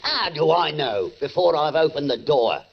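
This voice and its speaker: An actor playing a surly, grumbling working-class husband in a 1940s radio drama. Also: male voice, fairly high pitch, lively intonation, moderate pace, creaky. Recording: muffled, noisy old radio recording.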